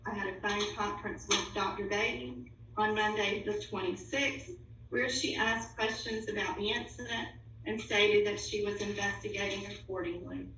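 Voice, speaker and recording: A woman's voice: medium pitch, fairly even intonation, normal speed, sharp tone. A woman reading a written statement aloud formally, as an official at a public board meeting. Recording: echoey room, distant mic, faint noise.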